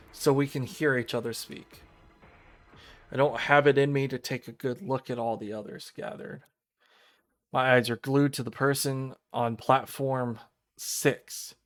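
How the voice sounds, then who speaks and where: A man reading visual novel narration aloud as a streamer. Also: medium pitch. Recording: music behind the voice.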